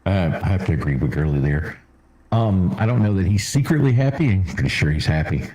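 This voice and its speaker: A man co-hosting a casual sports podcast, giving measured, thoughtful takes with some hesitation. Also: sits low, lively intonation, darkish tone, poor audio. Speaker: podcast co-host.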